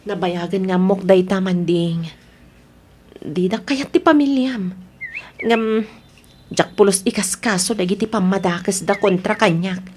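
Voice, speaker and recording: A woman playing a gentle, hurt but patient wife in a radio-style drama, pleading in a Filipino accent. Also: medium pitch, measured pace, long pauses, slight background noise, lightly acted.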